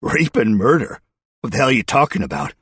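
A man's voice: medium pitch, lively intonation, fairly quick, vocal fry, breathy edge, no room sound, voice pushed up, heavily acted. A man voicing a blustering, cornered middle-aged man who turns from indignation to begging, in an audiobook story. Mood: incredulous. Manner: loud, blustering, breaking into begging.

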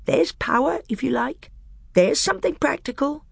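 A woman's voice: sits high, animated, normal speed, vocal fry, no room sound, studio quality, voice pushed up, exaggerated voice. A woman reading aloud, voicing a scheming, bitter male dwarf who speaks coldly and snaps. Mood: scornful. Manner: cold, snapping, rising to a scream.

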